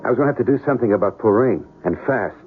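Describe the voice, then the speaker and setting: A man's voice: on the low side, expressive, rapid-fire, a little hiss or hum, lightly acted. An actor playing a cynical, philandering American military pilot who tells his own story in a radio drama. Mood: rueful, brooding. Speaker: lead actor and first-person narrator. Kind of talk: old-time radio drama acting and narration. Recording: muffled radio recording with music.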